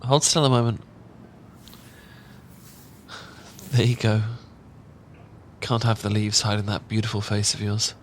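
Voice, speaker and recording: A British man playing a shy, tender roommate in a romantic ASMR audio roleplay, speaking in a gentle, halting, earnest way. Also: fairly low pitch, steady tone, slight background noise, close to an everyday voice. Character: shy, tender male roommate confessing love.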